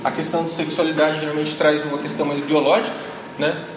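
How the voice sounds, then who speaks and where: A man's voice: on the high side, level tone, fast. A man giving a classroom lecture, thinking aloud and tagging his sentences with frequent questions to the listeners. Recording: echoey hall, noisy ambience.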